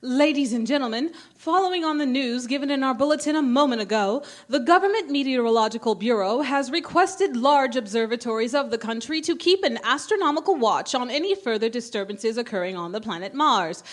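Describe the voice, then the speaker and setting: A formal female radio news announcer of the 1930s, played by an actress, reading bulletins for a radio drama. Her voice sits high and moves with lively, swinging intonation. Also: brisk, hardly any pauses. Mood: formal, urgent. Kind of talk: scripted radio bulletin in a stage radio play.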